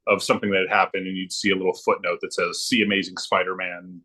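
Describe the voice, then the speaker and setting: A man's voice: low-pitched, little pitch movement, fast, pushed and bright. A man speaking as a podcast guest in casual chat, agreeable and thinking aloud with hesitations. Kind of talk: casual chat about comic books.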